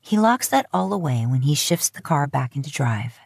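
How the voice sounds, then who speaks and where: Low-voiced woman narrating a story. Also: big pitch swings, normal speed, creaky, a little mellow, no echo, studio quality. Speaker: podfic narrator.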